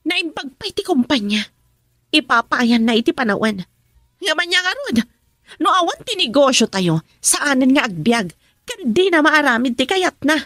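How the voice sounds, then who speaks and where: A resentful married daughter in a Filipino radio drama. Her voice is high and leaps about emotionally as she complains to her mother, recorded close and dry. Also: very animated, moderate pace, a bit bright, dry recording. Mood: bitter.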